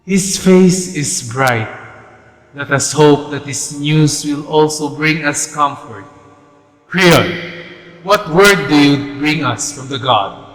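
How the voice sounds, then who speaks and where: A man's voice: on the high side, expressive, slow pace, a bit bright. A man playing a solemn, commanding king of ancient Thebes who is protective of his people, declaiming in a stately staged reading. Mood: sorrowful, hopeful. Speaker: actor in a readers' theatre play. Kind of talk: staged readers' theatre of Greek tragedy. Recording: echoey hall reverb with music behind.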